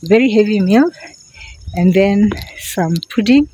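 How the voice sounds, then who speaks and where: A woman's voice: medium pitch, a little slow, mellow, a little hiss or hum, poor audio. A middle-aged Kenyan woman with a Kenyan accent, chatting casually to her phone camera as she walks outdoors, often repeating words for emphasis. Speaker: vlogger. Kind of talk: casual vlog to camera while walking. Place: outdoors, walking in a quiet semi-rural area. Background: birds and crickets.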